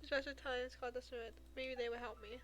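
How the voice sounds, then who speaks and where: A woman's voice: on the high side, steady tone, brisk, fairly bright tone. A woman game streamer chatting casually and in a rambling way while she plays. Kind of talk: casual live stream chat over gameplay.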